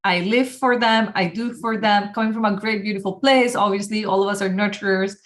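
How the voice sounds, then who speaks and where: A woman hosting a podcast interview, chatty and lively, laughing often as she talks. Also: medium pitch, brisk. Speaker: podcast host and coach. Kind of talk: casual interview chat.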